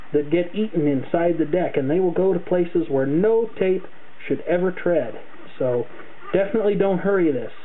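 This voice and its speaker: A man's voice: high-pitched, phone-quality sound. A man narrating an unscripted hands-on tutorial, explaining things carefully step by step into a camera's microphone. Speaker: hobbyist demonstrator. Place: indoors, at a tape deck.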